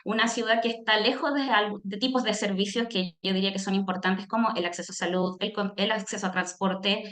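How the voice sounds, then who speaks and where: A woman's voice: medium pitch, steady tone, a little fast, a bit bright. Chilean woman presenting in an echoey room. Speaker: speaker, social worker giving a talk. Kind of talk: personal testimony in a presentation.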